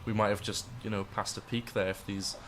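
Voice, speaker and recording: A man's voice: on the low side, level tone, a little fast, a bit bright, a little hiss or hum. A young man presenting a radio show and interviewing a comedian, hesitant and full of fillers. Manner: hesitant, lots of uh and sort of.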